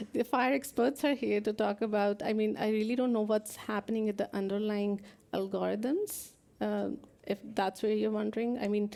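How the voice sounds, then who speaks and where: A woman answers audience questions after her talk at a tech conference. Her voice is mellow and gravelly, and it stays on a flat, level pitch. She talks softly and admits what she does not know with small nervous laughs. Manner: soft-spoken, laughs nervously, frank about not knowing. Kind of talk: live Q&A after a technical talk. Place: conference hall.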